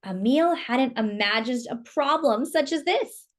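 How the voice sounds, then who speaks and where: A woman storyteller with a fairly high voice reads a children's picture book. She takes her time, with lively, expressive intonation, and chats to young listeners with questions along the way. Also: a little slow.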